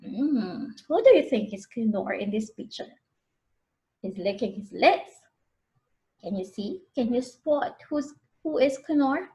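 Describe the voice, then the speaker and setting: High, animated woman storyteller, unhurried. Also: rough recording. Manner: expressive, prompts listeners with questions. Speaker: preschool storyteller. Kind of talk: interactive picture-book read-aloud for young children.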